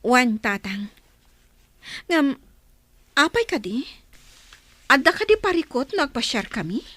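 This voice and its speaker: A woman's voice: sits high, big pitch swings, takes it slowly, sharp tone, no room sound, heavily acted. A Filipino woman playing a chief's young, dutiful but secretly daring daughter in a radio drama, speaking respectfully. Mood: apologetic, dutiful.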